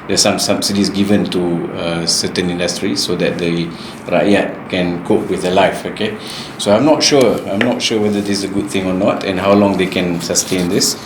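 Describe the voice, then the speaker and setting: A man's voice: low-pitched, fairly even intonation, moderate pace, reverberant, background noise. A man hosting a casual talk about the economy, explaining things with a lot of fillers and restarts. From New Zealand. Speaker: host.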